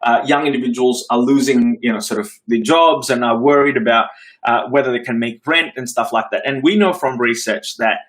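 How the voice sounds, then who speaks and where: A man's voice: medium pitch, brisk, fairly bright tone. Male academic, Australian accent, grew up in Singapore; mid-range voice, reflective interview answers full of restarts. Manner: thoughtful, frequent restarts and fillers. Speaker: associate dean, interviewee.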